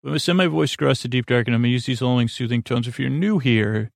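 A man in his forties with a creaky voice, hosting a sleep podcast in a halting, lulling, meandering bedtime monologue full of tangents. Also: mid-range voice, dry recording, clean studio sound. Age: 40s. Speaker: podcast host. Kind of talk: rambling bedtime monologue to help listeners sleep.

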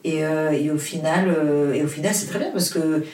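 A woman's voice: deep voice, steady tone, normal speed, a little mellow. Woman, roomy distant sound. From Portugal. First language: Portuguese. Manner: hesitant, many fillers and restarts, earnest. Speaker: author, interview guest. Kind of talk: casual interview chat.

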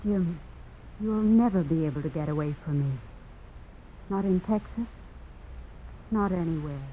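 A woman's voice: lowish voice, measured pace, natural voice. A woman plays a determined, lovelorn wife. Her delivery is intense and pleading, in old radio melodrama style. The sound is dull, with faint noise. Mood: possessive. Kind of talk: 1950s radio melodrama acting.

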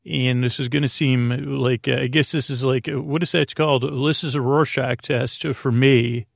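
A man with a creaky, gravelly voice hosts a bedtime podcast, recorded close and dry. He meanders through his monologue in halting phrases, stumbling over words and breaking off to correct himself. The delivery is droning and low-stakes. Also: fairly even intonation, no echo. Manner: halting, meandering, self-interrupting, often stammers over words. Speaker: podcast host.

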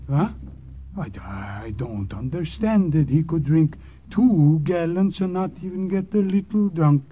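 An actor playing a timid, deferential man with a halting, hesitant delivery in an old-time radio drama. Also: on the high side, animated, noisy background. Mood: bewildered. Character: timid, deferential Norwegian uncle hiding saboteurs. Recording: dull, muffled old radio recording.

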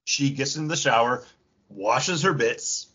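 A man's voice: medium pitch, animated, measured pace, pushed and bright, breathy edge. A man co-hosting a podcast in casual banter, teasing and jokey, often acting out imagined lines. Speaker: podcast co-host.

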